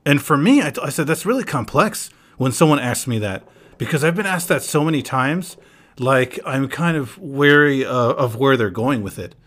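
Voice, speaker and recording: Male podcast host from the US, mid pitch, relaxed anecdotal delivery with quoted asides. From United States. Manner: relaxed storyteller, quoting people in quick asides. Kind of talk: casual podcast chat.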